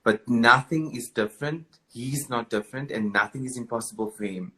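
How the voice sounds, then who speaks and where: A man's voice: mid-range voice, normal speed, bright, forceful tone, poor audio. A man, a guest in a live video interview, talking emphatically and encouragingly. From South Africa. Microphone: video call.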